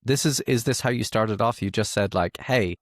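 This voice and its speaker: A man's voice: fairly low pitch, normal speed, no room sound, studio quality. A man hosting a podcast in a casual interview style, informal and given to banter. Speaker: podcast host.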